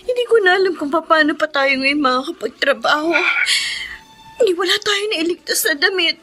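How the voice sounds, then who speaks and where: Casting: earnest Filipino big sister in hardship, high bright voice, tearful, radio drama. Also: female voice, animated, normal speed, heavily acted. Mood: sorrowful. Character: grateful, distressed older sister who lost her home.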